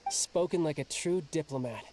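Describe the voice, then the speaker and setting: A man's voice: a little high, normal speed, darkish tone, a little hiss or hum, natural voice. A man voicing a cocky, playful young male warrior in a video game, teasing and wry. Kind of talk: game dialogue.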